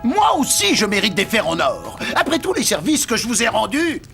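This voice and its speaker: A man's voice: sits high, huge pitch swings, normal speed, vocal fry, bright, forceful tone, soft background music, full character voice. A man voicing a vain, indignant young male scarab beetle in a cartoon, with a boastful, whiny, theatrical delivery. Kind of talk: animated cartoon dialogue.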